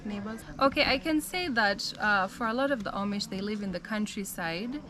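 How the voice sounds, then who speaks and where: A young American woman telling her life story in a relaxed, chatty way, with frequent "um" and "like". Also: mid-range voice, normal speed, noisy background. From United States. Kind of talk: casual storytelling.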